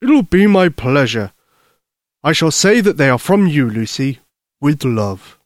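A man voicing a jolly, kindly old Santa Claus in a bedtime story, slow, gentle and reassuring. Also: fairly high pitch, very animated, no echo, clean studio sound. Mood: jolly, tender.

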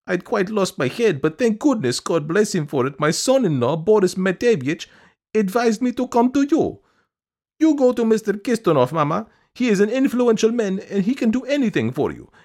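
A man voicing a whining, stubborn middle-aged woman who pleads her case in a shrill, insistent wail from a storybook. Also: big pitch swings, a little mellow, full character voice. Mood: pleading. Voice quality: shrill, piping. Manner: wailing, insistent, rapid complaining.

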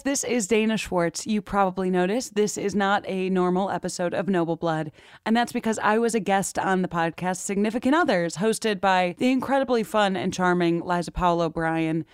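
A woman's voice: on the low side, smooth, no room sound, studio quality. A woman podcaster talking in a casual, conversational way. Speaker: podcast host and guest.

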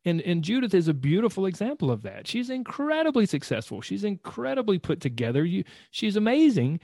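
A man's voice: high-pitched, wildly swooping pitch, fairly quick, dark tone, dry recording, clean studio sound. A man hosting an interview, enthusiastic and encouraging, rambling a bit with restarts. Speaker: host. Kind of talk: interview, coaching podcast.

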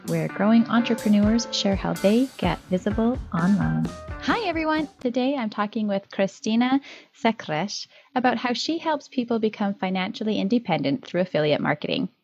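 A woman's voice: medium pitch, lively intonation, moderate pace, creaky, a little mellow, husky. A woman hosting an interview podcast, reading a scripted intro and asking questions. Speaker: podcast host. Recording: dull dry recording with faint music.

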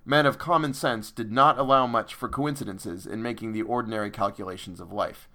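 Man putting on a measured old-fashioned English storyteller. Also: fairly low pitch, bright, forceful tone. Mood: grave. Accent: put-on English. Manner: formal, measured, theatrical. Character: old-fashioned British storyteller recounting an eerie tale. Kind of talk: literary scripted narration.

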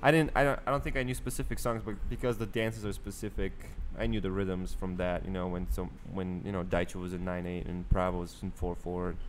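A man's voice: low-pitched, level tone, a little fast, few pauses, slight background noise. A man from Bulgaria, chatting as an interview guest and telling stories with many fillers. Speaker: guest musician. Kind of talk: casual interview chat.

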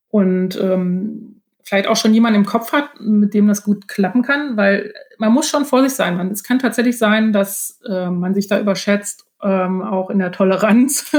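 A woman's voice: medium pitch, darkish tone. A woman speaking as a podcast guest, explaining things from her own experience in a chatty, informal way.

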